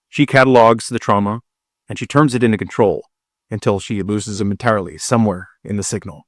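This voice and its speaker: A man delivering a scripted personal monologue as a channel host, solemn and deliberate, with dramatic pauses between short phrases. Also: fairly low pitch, no echo, studio quality. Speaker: video channel creator and host.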